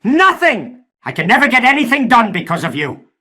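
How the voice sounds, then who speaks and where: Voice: medium pitch, wildly swooping pitch, moderate pace, bright, forceful tone, exaggerated voice. An actor voicing a furious, scornful mad scientist in a cartoon comic dub, ranting with sneering sarcasm. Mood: scornful rage.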